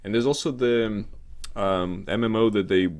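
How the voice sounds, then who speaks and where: A man co-hosting a casual gaming talk show, rambling and halting, with an irreverent, sarcastic, sweary way of talking. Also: fairly low pitch, darkish tone. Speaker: co-host of a gaming news show. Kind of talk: casual chat about games news.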